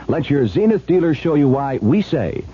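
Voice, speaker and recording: A man's voice: on the high side, sing-song, normal speed, warm tone, husky. A man reading a scripted radio commercial as an announcer. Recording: dull lo-fi 1970s radio recording.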